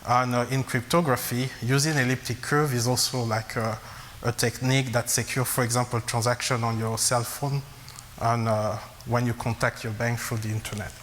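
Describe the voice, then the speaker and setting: Man from congo, math lecture, halting with many fillers, echoing lecture hall, faint hiss. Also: mid-range voice, flat delivery, a bit bright. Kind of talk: academic lecture at a blackboard. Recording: long reverb, faint hiss.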